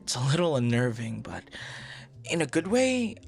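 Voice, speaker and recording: A man playing a flustered, smitten young suitor in an intimate ASMR boyfriend roleplay, speaking in halting, tender murmurs. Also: medium pitch, sing-song, gravelly, faint music behind. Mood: smitten, hushed. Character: smitten, flustered young male stranger turned romantic suitor.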